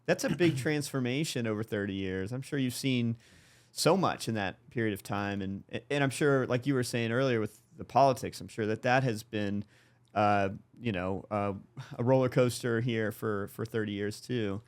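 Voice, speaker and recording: A man co-hosting a podcast, asking in a relaxed conversational way. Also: medium pitch, normal speed, smooth. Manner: relaxed, many fillers and hedges. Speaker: podcast co-host. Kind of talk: casual interview chat.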